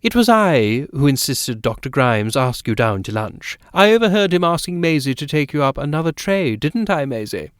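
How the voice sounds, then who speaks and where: Man doing a chatty curious lady, raised pitch, very lively inflection, storybook voice. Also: moderate pace, hardly any pauses, clean tone, dry recording, studio quality. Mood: eager. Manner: eager, talkative, inquisitive. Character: chatty curious well-to-do older woman.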